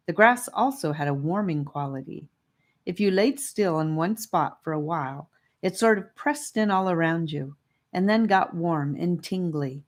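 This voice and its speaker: A woman reading scripted narration of a children's fantasy audiobook. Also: low-pitched.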